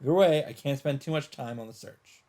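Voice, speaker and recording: A man doing a let's play, reading a visual novel's narration aloud in a measured storytelling delivery. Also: mid-range voice, warm tone.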